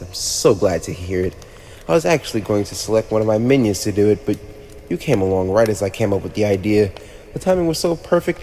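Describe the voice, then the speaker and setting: A teenage demon prince of hell played by a man, smug and teasing with a playful menace, speaks in a lowish male voice. He addresses the listener in chatty yet mock-formal ASMR roleplay fashion. The sound rings with long reverb over clearly audible noise. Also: a little mellow. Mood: pleased, generous. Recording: echoey reverberant room with clear background noise.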